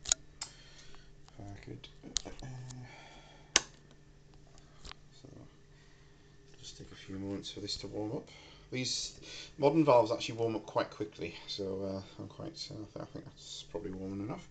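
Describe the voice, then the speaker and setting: A man giving a casual, halting demonstration at a workbench, recorded on a handheld camera. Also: lowish voice, steady tone, creaky. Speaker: hobbyist electronics builder presenting. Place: indoors at a cluttered workbench. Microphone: handheld camera microphone. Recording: noisy handheld camera in room.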